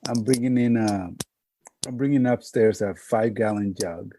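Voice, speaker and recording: A man's voice: on the low side, normal speed, warm tone, slight background noise. A man telling personal stories casually as a podcast guest, in short, punchy sentences.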